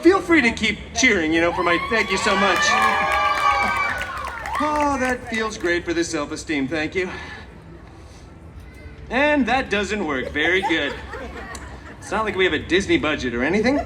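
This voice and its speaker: A man's voice: high-pitched, very animated, moderate pace, long pauses, crowd noise, noisy background. A man playing a cheeky, chatty masked antihero who tells stories theatrically with sarcastic asides at a live show, heard through a distant PA. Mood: playful. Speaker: theme park show performer. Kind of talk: live comedic storytelling show for a crowd. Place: live outdoor theme park stage. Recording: distant PA sound with loud music. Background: loud music.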